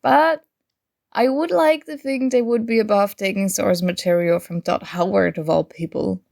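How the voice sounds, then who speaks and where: A woman's voice: medium pitch, normal speed, gravelly, natural voice. A woman playing a playful, nerdy young gamer chatting with her crush in a casual, teasing ASMR roleplay. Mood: teasing, mock indignant. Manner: teasing, animated, conversational. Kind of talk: ASMR roleplay acting, casual conversational chat.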